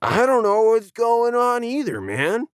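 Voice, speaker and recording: Man playing a laid-back hippie dude, higher-pitched. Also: big pitch swings, measured pace, clear, resonant tone, dry recording, clean studio sound, exaggerated voice. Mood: easygoing, puzzled. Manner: easygoing, slangy. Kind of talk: audio science fiction story character.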